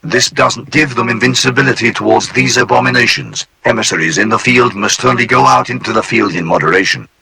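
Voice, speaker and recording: A man voicing a polite, formal male AI supercomputer that guides trainees in a calm, courteous, clipped manner. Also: medium pitch, a little fast, creaky, hardly any pauses, a little hiss or hum, low-quality recording. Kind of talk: scripted narration for an analog horror tape.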